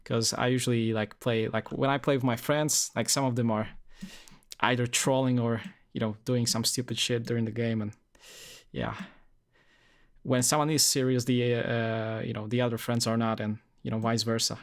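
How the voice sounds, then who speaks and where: A man's voice: mid-range voice, little pitch movement, normal speed. Young male streamer from Poland, easygoing rambling chat, full of fillers, mid-range voice. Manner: rambling, many fillers and restarts. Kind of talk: casual live-stream chat.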